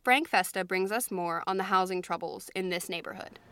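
A woman podcast host reading scripted narration, introducing each segment clearly. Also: fairly low pitch, brisk, fairly bright tone, no room sound, studio quality.

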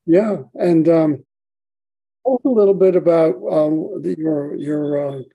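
A man hosting a podcast, asking questions in a halting way with many pauses and "um"s. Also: fairly high pitch, gravelly, dull audio. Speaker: podcast host, interviewer. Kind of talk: interview questions.

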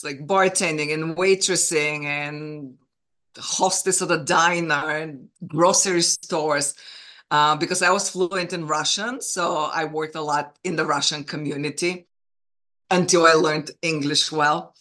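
Bulgarian-accented woman guest, bright forceful voice, tells her story eagerly. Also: low-pitched. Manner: earnest, enthusiastic storytelling with small restarts. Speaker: guest, film producer. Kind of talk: interview conversation.

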